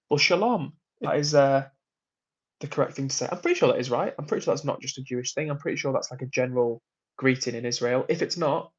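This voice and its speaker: A man's voice: on the high side, husky, muffled recording. A man hosting a casual livestream from a hotel room, reading out viewer comments in a friendly, rambling way. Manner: chatty, rambling, friendly to chat. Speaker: livestream host.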